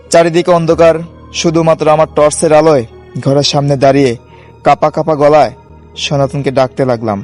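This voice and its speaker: Guy playing a worried village doctor telling a ghost story, slightly high voice, bit of music behind. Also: a little mellow, natural voice. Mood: fearful. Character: troubled village doctor recounting a ghostly night. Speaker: narrator. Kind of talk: first-person scripted horror story narration.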